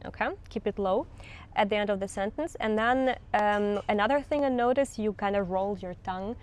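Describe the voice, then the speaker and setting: A woman's voice: mid-range voice, normal speed, darkish tone. A woman with a Russian accent and a mid-range voice teaches English pronunciation. She explains patiently, models each sound herself and works through examples step by step. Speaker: accent coach.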